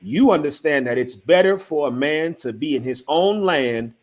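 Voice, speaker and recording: A man's voice: on the high side, expressive, moderate pace. Emphatic storytelling man. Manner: storytelling, acts out dialogue, rising to emphatic. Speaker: panel member. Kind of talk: online panel discussion.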